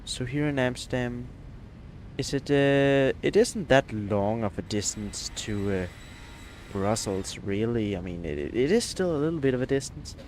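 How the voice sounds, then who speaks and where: A man's voice: mid-range voice, animated, a little slow, darkish tone, slight background noise. A man from Denmark, chatting hesitantly with many fillers while streaming a flight simulator. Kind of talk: live stream gameplay chat.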